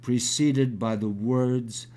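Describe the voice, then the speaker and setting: A man in his sixties with a crackly, gravelly voice, reading his own poem aloud, halting with fillers when he talks and measured when he recites. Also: mid-range voice, fairly even intonation, warm tone. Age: 60s. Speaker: poet.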